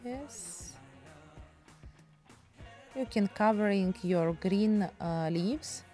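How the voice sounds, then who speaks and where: A woman's voice: mid-range voice, drawn-out words, dark tone, faint music behind. A woman with a Russian accent chatting in broken English while demonstrating a painting technique. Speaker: painting tutorial host.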